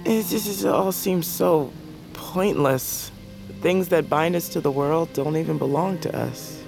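An actor playing a world-weary, fatalistic wanderer in an audio drama, speaking tersely in archaic, poetic phrases. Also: male voice, on the high side, a little slow, creaky. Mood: bleak, brooding. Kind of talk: audio fiction drama acting. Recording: dramatic music underneath.

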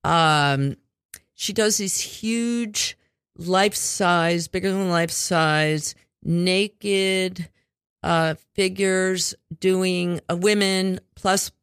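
A woman's voice: on the low side, fairly even intonation, drawn-out words, clean tone, no echo, clean studio sound. A woman hosting a casual community radio interview, rambling and chatty with offhand swearing. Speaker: radio show host.

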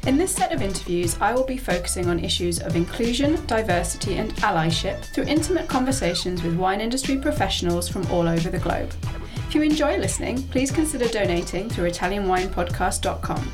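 A woman hosting an interview podcast, asking long, thoughtful questions at a measured pace. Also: medium pitch, animated, music in the background. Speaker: podcast host.

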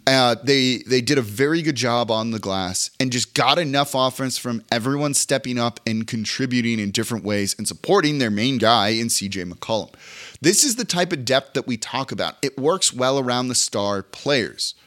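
Hoarse man recapping a game. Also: medium pitch, fairly bright tone. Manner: brisk stat-heavy rundown with emphatic stresses. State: hoarse, fighting off illness. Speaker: sports podcast host. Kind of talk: solo sports podcast game recap.

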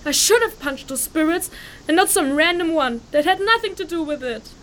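A high-pitched young refugee woman in a fantasy audio drama. She is earnest and stubborn, weighed down by guilt, and speaks haltingly with feeling. There is noticeable noise and rumble behind her. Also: animated, a bit bright, poor audio. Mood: anguished, defiant.

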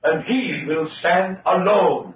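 An actor playing a visionary scientist giving a grand, declamatory conference speech in an old-time radio drama. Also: male voice, sits high, takes it slowly. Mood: grand, confident. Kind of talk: old-time radio acting, formal oratory. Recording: echoey hall, distant, muffled vintage radio.

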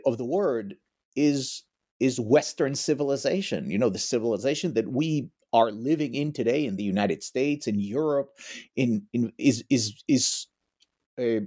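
A man's voice: mid-range voice, a little mellow. A middle-aged man from Israel, a history professor giving long explanatory answers in an interview.

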